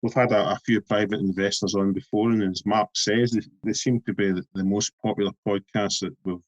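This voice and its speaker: A man's voice: lowish voice, fairly quick. Rambling Scottish man, dull lo-fi sound. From Scotland. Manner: relaxed, rambling, repeats words. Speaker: podcast co-host. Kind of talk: casual interview chat. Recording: dull lo-fi call audio.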